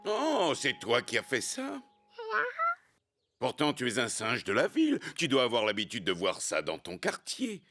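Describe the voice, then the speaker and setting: An actor voicing an earnest, scolding but kind officer in a children's cartoon, with a lecturing, theatrical delivery. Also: male voice, medium pitch, huge pitch swings, measured pace, sharp tone, faint music behind, exaggerated voice. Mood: reproachful. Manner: lecturing, theatrical, swinging intonation.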